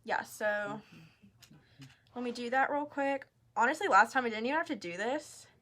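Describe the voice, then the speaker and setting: A woman's voice: a little high, normal speed, fairly bright tone. A woman casually hosting a live craft tutorial, chatting informally as she explains each step. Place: craft store.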